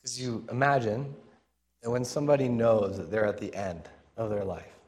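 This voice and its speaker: Raspy-voiced male preacher. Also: medium pitch, fairly even intonation, moderate pace, darkish tone. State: strained, raspy voice, working for volume. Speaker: pastor preaching. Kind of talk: informal expository sermon.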